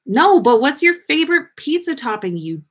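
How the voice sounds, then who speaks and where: A woman hosting a livestream in a playful, expressive manner. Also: sits high, moderate pace. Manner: playful, sweary, teasing, expressive. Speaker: podcast host reading fan fiction on a livestream. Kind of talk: casual livestream banter.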